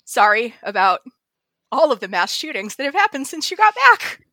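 A woman co-hosting a podcast in casual chat, bubbly and excitable and quick to laugh. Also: high-pitched, no room sound. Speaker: podcast co-host.

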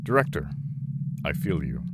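Earnest alien warden, very swooping delivery. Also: male voice, very deep voice, very animated. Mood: deferential, formal. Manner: formal, measured, deferential. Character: earnest alien lifeform warden, deferential yet hopeful. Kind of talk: science fiction audio drama acting.

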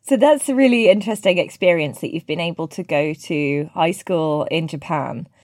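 A woman's voice: low-pitched, moderate pace, a little mellow, no room sound, clean studio sound. A woman interviewing a remote teacher over a video call, chatty and full of fillers and restarts. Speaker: interviewer and teaching team lead.

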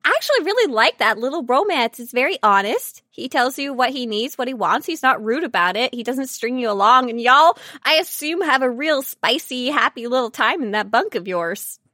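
A woman with a very high voice chats casually as a podcast co-host. She is silly and animated, with a teasing streak. The recording is dry, with no room sound. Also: moderate pace, few pauses, no room sound. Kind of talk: casual chat about game characters.

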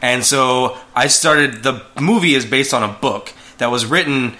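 Bright-voiced man chatting casually. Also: mid-range voice, a little slow. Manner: rambling, many fillers and restarts. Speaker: podcast co-host. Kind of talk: casual chat.

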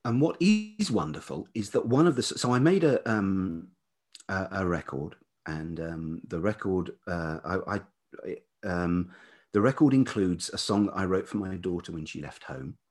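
Low, husky middle-aged Englishman. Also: lively intonation, a little mellow. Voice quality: velvety. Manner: hesitant, halting, circling back. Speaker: podcast guest, writer and musician. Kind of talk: reflective conversational storytelling.

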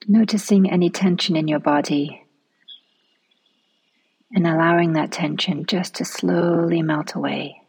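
A woman leading a guided relaxation meditation in a soft, soothing manner, often trailing off into a near-whisper. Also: fairly low pitch, fairly even intonation, a little slow, long pauses, slightly rough, poor audio.

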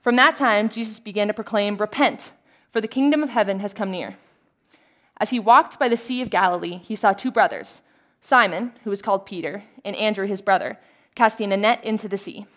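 Calm woman reading scripture. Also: mid-range voice, brisk. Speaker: scripture reader.

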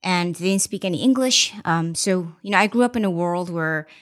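A woman investor giving a candid, reflective podcast interview, often pausing with ums. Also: fairly low pitch. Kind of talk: conversational interview. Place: studio interview.

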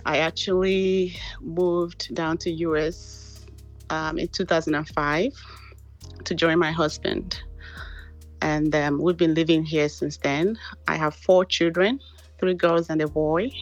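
A middle-aged woman speaking in a low voice, unhurried and calm. She answers politely from her living room and pauses with small fillers. Faint music sits behind her. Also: dull audio. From Nigeria. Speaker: guest, mother. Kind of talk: interview answers.

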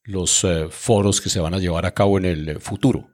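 A man interviewing a guest as host, asking long, formally phrased questions with hesitant pauses. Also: low-pitched, steady tone, a little mellow.

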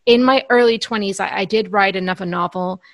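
A woman's voice: medium pitch, a little fast, no echo. A woman in her thirties, an author from Northern Ireland, answering interview questions in an articulate, earnest way with small restarts. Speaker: author guest. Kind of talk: podcast interview.

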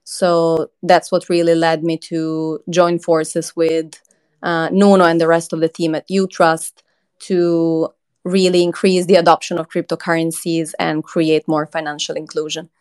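A woman from Croatia in her thirties, a company chief being interviewed, talking in an earnest, measured way with frequent ums. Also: low voice, little pitch movement, a little mellow, clear, resonant tone. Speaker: crypto company CEO, guest. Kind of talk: conversational interview.

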